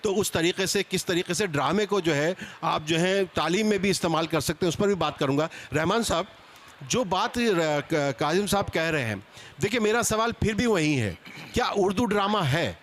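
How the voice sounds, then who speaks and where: A man's voice: high voice, lively intonation, normal speed, a bit bright, slight background noise. A man moderating a live panel, conversationally putting questions to the speakers.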